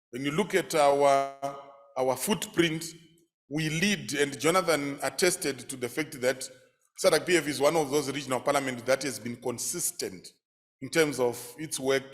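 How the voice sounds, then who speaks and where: A man's voice: mid-range voice, a bit bright. A man giving a formal, earnest workshop presentation in a conference hall. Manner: earnest, hands over to speakers.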